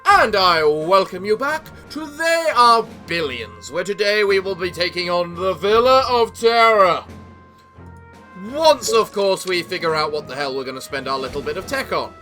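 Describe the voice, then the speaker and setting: A young English man giving casual let's-play commentary in a theatrical gentleman persona, a little sick, with a voice that sounds off. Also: high-pitched, sing-song, moderate pace, soft background music. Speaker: gaming YouTuber.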